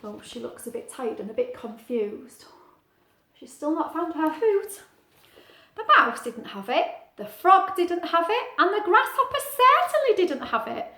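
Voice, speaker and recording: Female picture-book reader, teacherly and chatty: bright, high-pitched, expressive, singsong delivery. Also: unhurried, roomy sound. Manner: animated, singsong, asking little questions. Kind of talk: expressive children's story reading with chatty asides.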